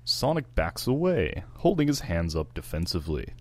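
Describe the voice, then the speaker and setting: Very deep-voiced male narrator, animated. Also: moderate pace. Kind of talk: reading fan fiction stage directions.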